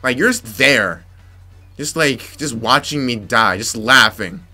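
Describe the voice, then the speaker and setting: Young male let's-player, raspy from yelling, bright voice, faint game music underneath. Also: mid-range voice, lively intonation, slow pace. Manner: frustrated, muttering to himself, sudden yelps. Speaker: gameplay commentator. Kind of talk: let's play commentary.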